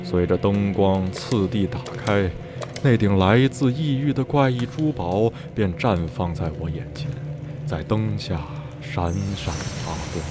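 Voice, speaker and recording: Guy acting reflective man telling his old story, lowish voice, radio play, music under it. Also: animated. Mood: intrigued. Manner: measured, literary storytelling. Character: reflective male survivor recounting his youthful journey. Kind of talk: radio drama narration.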